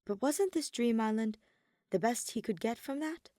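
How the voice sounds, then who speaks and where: A woman's voice: a little high, animated, moderate pace, dark tone, dry recording, clean studio sound. A woman reading scripted fiction aloud as an audiobook narrator.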